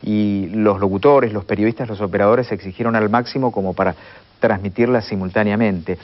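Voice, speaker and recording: A man with an Argentine accent giving measured, explanatory remarks in an interview. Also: lowish voice, fairly even intonation. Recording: slightly dull lo-fi sound.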